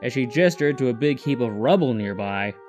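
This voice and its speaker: A man serves as narrator, reading a scripted story. His voice sits at an average pitch, with faint music underneath. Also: lively intonation, a little fast.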